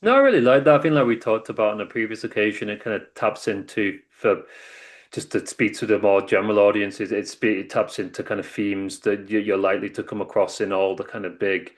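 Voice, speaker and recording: A man moderates a panel talk in a fairly low voice. He asks long, thoughtful questions that wind through several qualifications. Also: a little fast. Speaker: philosophy lecturer, moderator.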